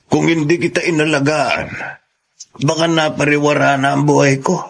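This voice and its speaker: A man playing a sincere, tender older uncle in a radio drama, with a Filipino accent and a husky, creaky voice. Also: on the high side, fairly even intonation, moderate pace. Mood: earnest, moved.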